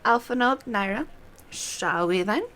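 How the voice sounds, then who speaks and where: A woman voicing a composed female scholar in a fantasy game, with measured, formal, old-fashioned phrasing. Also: medium pitch, expressive, a bit bright.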